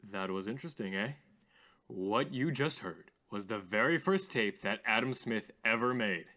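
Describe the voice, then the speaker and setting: A man playing a polished, eager radio host who presents mysterious tapes in a deliberate, showy manner. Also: fairly low pitch, expressive, bright, forceful tone. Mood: intrigued. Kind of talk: scripted radio show presenting.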